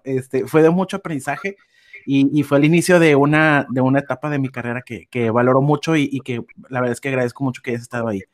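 A man hosting a podcast in a friendly, hesitant way, with many fillers. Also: medium pitch. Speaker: podcast host.

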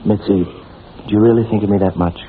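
A man's voice: fairly low pitch, steady tone, fairly quick, natural voice. Lovestruck young army officer, played by a man, eager, pleading, flustered; vintage radio drama, thin noisy sound. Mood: adoring. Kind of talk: 1940s radio play acting. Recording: tinny, noisy old radio recording.

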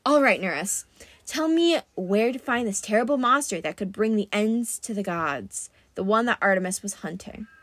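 Woman playing a lively, impatient teenage boy hero. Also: mid-range voice, normal speed, dry recording. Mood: wry. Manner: casual, exclamatory.

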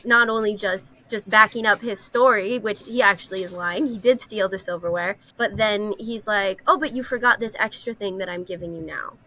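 A woman co-hosting a podcast, explaining stories in an animated, conversational way and jumping in readily. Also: medium pitch, low-quality recording. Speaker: podcast co-host. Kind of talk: casual discussion of stories.

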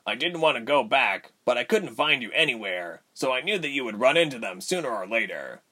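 Man playing a quiet, devoted male captive. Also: mid-range voice, very animated, a little fast. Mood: explaining. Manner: quiet, earnest.

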